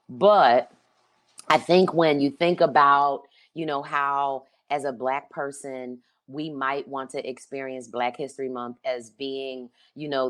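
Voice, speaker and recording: A woman in her fifties from Philadelphia speaks in an unusually deep, low voice. She hosts a podcast and talks through her memories casually, filling pauses with ums and asking "right?" along the way. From Philadelphia, United States. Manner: conversational, many ums and rhetorical "right?". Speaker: podcast host. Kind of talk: reflective personal talk.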